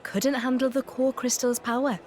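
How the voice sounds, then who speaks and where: A woman's voice: high-pitched, flat delivery, moderate pace, darkish tone, natural voice. Woman playing a blunt, feisty young woman. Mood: dry, resigned. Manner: blunt, colloquial British slang. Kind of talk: video game cutscene acting. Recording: music and crowd behind the voice.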